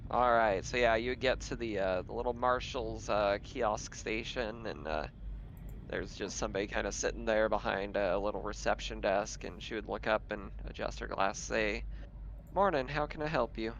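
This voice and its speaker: A man's voice: fairly low pitch, fairly even intonation, normal speed, a bit bright. A man acting as game master of a tabletop game, narrating in a casual, chatty way. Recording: dull lo-fi audio, faint noise.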